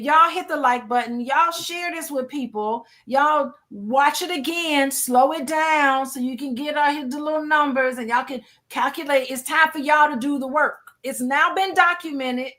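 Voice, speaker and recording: An American woman with a very high-pitched, sharply bright voice. She hosts in a casual, upbeat way. Her talk is enthusiastic and folksy, full of exclamations. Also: unhurried, hardly any pauses. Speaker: host, coach. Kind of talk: casual upbeat interview chat.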